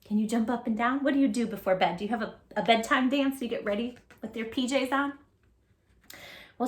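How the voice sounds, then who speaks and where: Animated woman reading a picture book aloud. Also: high voice, roomy sound. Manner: animated, sing-song, talks to children with questions. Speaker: children's book reader. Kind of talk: read-aloud with tips for parents. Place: home room with posters.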